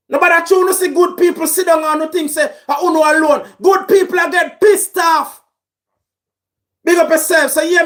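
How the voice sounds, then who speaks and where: A man with a Jamaican accent, shouting through an angry political rant. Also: very high voice. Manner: shouting, raging.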